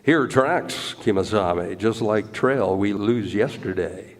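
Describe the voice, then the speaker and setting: Man acting terse tracker sidekick, low pitch, deliberate broken English, old radio Western, echoey hall. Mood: watchful.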